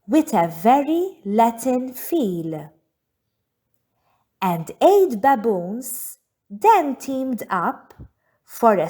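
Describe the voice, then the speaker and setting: Woman storybook reader, very unhurried pace, lively pitch movement, deliberate pauses for young listeners. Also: slightly rough. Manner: measured phrasing with deliberate pauses. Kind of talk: children's read-aloud narration.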